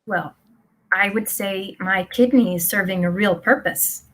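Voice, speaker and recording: A woman's voice: mid-range voice, unhurried, fairly bright tone, poor audio. A woman playing a blithely supportive middle-aged mother in a live play reading, placid and reassuring. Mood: placid, doting.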